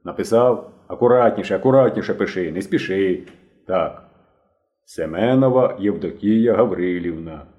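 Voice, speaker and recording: A man's voice: on the low side, animated, a little fast, warm tone, highs cut off, lightly acted. A man voicing a stern elderly working-class aunt in an audiobook, grumbling and reproachful, with emphatic delivery.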